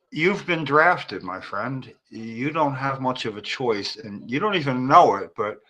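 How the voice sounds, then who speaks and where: Bright-voiced man giving folksy advice. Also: medium pitch, rough recording. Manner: advising, folksy. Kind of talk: casual chat.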